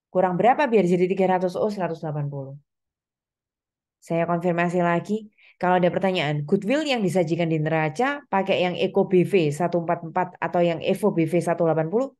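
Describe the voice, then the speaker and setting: A woman's voice: on the low side, mellow. A woman giving an explanatory lecture, speaking step by step with frequent reminders to her students. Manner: instructional, step-by-step, with frequent reminders and check-in tags. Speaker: accounting lecturer.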